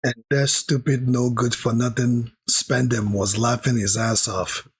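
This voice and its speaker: A man with a hoarse, recovering voice, chatting through a live anime reaction stream and thanking viewers in the chat. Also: medium pitch, level tone, measured pace.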